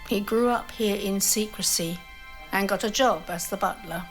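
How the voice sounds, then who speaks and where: A woman playing a genteel, motherly older mistress of a manor in an audio drama, speaking in a measured way. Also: medium pitch, soft background music, natural voice, poor audio. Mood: calm, confessing.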